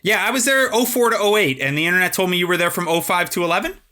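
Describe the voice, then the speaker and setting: A man's voice: high-pitched, racing, bright, forceful tone. A man, chatting casually and asking questions as a podcast host. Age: 30s. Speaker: podcast host, sports journalist. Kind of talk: casual chat, interviewing.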